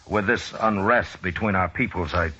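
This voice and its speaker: Deep-voiced, weary frontier lawman. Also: bright, forceful tone, breathy edge, exaggerated voice. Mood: concerned, cautious. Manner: measured, earnest, understated. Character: steady, weary frontier lawman narrating his western tale. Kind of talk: 1950s radio drama acting. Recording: dull old radio recording with music.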